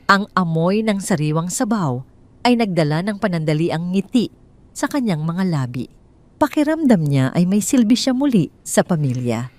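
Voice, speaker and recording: A Filipino woman reading a scripted story as narrator, with measured, clearly phrased delivery. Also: on the low side, a little mellow, dry recording.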